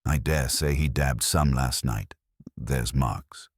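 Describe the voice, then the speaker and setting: A man's voice: very deep voice, slow pace, slightly rough, no echo, pitched below the performer's own voice, full character voice. A man voicing a weary, grumbling older male caretaker in an audio story, dry, clipped and grudging.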